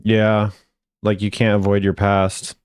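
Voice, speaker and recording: A man's voice: lowish voice, monotone, unhurried, a little mellow, clean tone, no echo, studio quality. A man giving casual, laid-back reaction commentary on game voice lines in a musing way.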